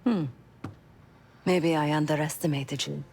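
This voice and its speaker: An actress playing a cool, guarded spy in a video game, speaking in a dry, clipped, sardonic way. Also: female voice, low voice, fairly even intonation, unhurried, darkish tone, a little hiss or hum. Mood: dry, wary. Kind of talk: video game cutscene acting.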